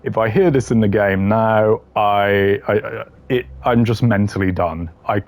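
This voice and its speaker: A man's voice: lowish voice, measured pace, a little mellow. A man giving casual, opinionated gaming commentary in a blunt, slangy way, ranking voice lines. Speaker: gamer ranking game voice lines.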